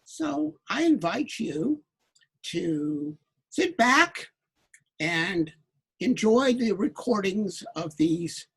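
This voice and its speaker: A man hosting an online children's book event, introducing it in formal, measured phrases with some hesitation. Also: high voice, sing-song, creaky, fairly bright tone, husky. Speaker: event host.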